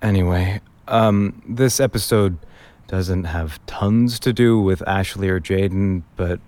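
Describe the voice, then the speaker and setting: A man's voice: low voice, darkish tone. A man playing a wry, grumbling young man in naturalistic audio drama, conversational and dryly sarcastic.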